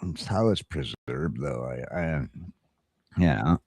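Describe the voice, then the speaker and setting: A man's voice: a bass voice, expressive, slow, creaky, darkish tone, breathy edge, no echo, studio quality. A middle-aged American man telling stories in casual chat, with a blunt, folksy, sweary manner. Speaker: talk show host.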